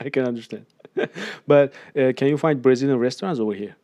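A man's voice: mid-range voice, lively intonation, moderate pace, a little mellow, dry recording. A man interviewing in a casual chat, friendly, laughing often and hesitating with fillers as he asks his questions.

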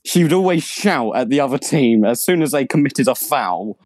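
A man's voice: mid-range voice, lively intonation, moderate pace, a little mellow, no room sound. Giggly young male podcast co-host. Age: young adult. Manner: stumbling, giggly. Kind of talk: casual chat.

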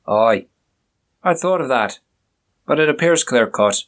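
A man's voice: mid-range voice, expressive, a little slow, pitched above the performer's own voice, lightly acted. A man doing a curt, haughty police inspector. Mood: stern. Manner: curt, commanding, condescending. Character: stern, dismissive police inspector, middle-aged man.

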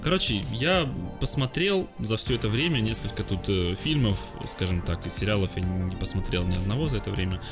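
A man's voice: fairly low pitch, lively intonation, fairly quick, few pauses, music in the background. A young man co-hosting a podcast, joking and swearing freely. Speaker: podcast co-host. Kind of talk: casual podcast chat.

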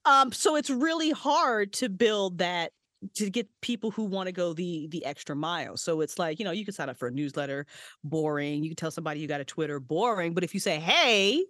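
A woman's voice: fairly low pitch, brisk, no echo, studio quality. A woman guest on a podcast, talking casually and bluntly with frequent swearing. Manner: blunt, profane, animated. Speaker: podcast guest, audio drama creator. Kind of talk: casual interview chat.

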